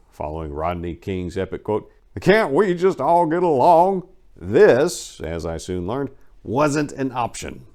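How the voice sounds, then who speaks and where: Male storyteller, slightly low voice, highly varied intonation, for a theatrical first-person narrative read with emphasis and measured pauses. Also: darkish tone. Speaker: host and story reader. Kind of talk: dramatic first-person memoir reading.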